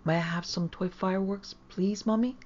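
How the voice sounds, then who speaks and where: A woman's voice: medium pitch, steady tone, moderate pace, darkish tone, dull audio, voice pushed up, lightly acted. A woman voicing an anxious young girl in a storybook, querulous and imploring. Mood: coaxing. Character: anxious, pleading young girl, gentle but fretful.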